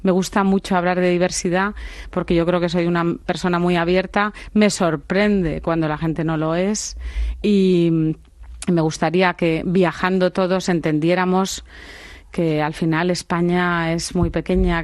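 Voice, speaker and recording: A woman from Spain, a business leader as the guest in a radio studio, answering in a reflective, unhurried way. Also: lowish voice, little pitch movement, a little mellow, no room sound. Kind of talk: radio interview conversation.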